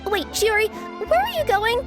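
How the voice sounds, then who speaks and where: A worried young working woman in a video game, her voice extremely high. She is earnest, polite and a little hesitant, with faint music behind her. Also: sing-song, moderate pace. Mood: alarmed, worried. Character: anxious, polite young female express company employee. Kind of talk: video game character voice acting.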